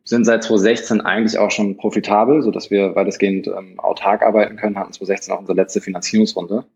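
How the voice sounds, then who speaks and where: Fast-talking lower-voiced man, dull audio. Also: level tone, gravelly, a little mellow. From Hamburg, Germany. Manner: fast, hesitant with many fillers and restarts. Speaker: startup founder, guest. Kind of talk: conversational interview answers. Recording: dull lo-fi sound.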